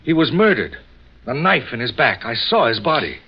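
Scared earnest young fella, melodramatic, pitch all over, muffled old radio drama. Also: male voice, very animated, moderate pace, breathy edge. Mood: earnest, troubled. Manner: agitated, melodramatic. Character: frightened, earnest young man reporting a murder. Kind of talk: 1940s radio drama acting.

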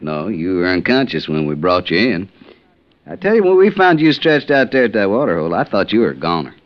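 A man's voice: low-pitched, sing-song, brisk. An actor playing a good-natured, folksy frontier lawman with drawling phrasing in a 1950s radio western. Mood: jovial. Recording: dull vintage 1950s radio recording.

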